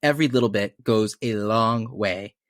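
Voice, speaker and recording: A man's voice: on the low side, moderate pace, a bit bright. Effusive male podcast co-host. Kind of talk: friendly podcast hosting.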